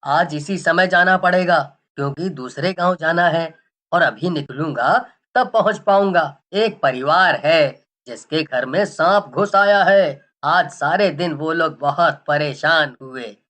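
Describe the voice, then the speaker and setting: Woman playing an unhurried, caring village father. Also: lowish voice, a bit bright. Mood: gentle, practical. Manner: reassuring, unhurried, matter-of-fact. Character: caring, confident village snake-charmer father, middle-aged man. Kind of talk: storybook cartoon voice acting.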